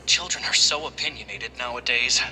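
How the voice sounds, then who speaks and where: A man's voice: mid-range voice, fairly quick, pushed and bright. A man voicing a reflective adult mentor who muses and frets to himself, in dramatic video game acting with reverb. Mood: pensive. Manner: musing, soliloquizing. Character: reflective adult male mentor, fretting over preaching to children. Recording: long reverb over music.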